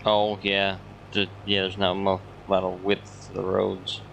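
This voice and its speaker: A man's voice: deep voice, completely flat delivery, measured pace, creaky, fairly bright tone, slight background noise, rough recording. A man from Michigan hosting a game stream, easygoing, laughing easily and reading chat aloud. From Michigan, USA. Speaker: streamer host. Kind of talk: casual gaming chat.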